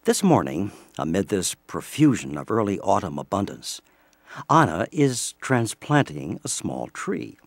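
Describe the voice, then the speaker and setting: Male narrator for audio drama: husky, animated intonation, theatrical and deliberate storyteller. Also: sits low, no echo. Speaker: narrator and presenter.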